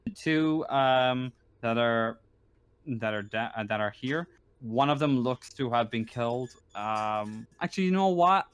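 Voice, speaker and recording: A man with a clean, smooth voice at middle pitch acts as game master. He narrates a tabletop roleplaying game casually, thinking aloud in halting phrases, and the sound is close and dry. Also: animated, no echo.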